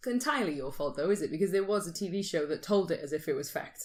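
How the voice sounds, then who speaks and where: A woman's voice: fairly low pitch, expressive, fast talker. A woman co-hosting a podcast in casual, teasing, informal conversation. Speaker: podcast co-host.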